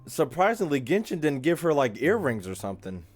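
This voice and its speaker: Very low-voiced woman, slangy gaming streamer. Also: very animated, fairly quick, darkish tone. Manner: irreverent, slangy, muttered asides. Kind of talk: casual reaction commentary over gameplay. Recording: game music behind.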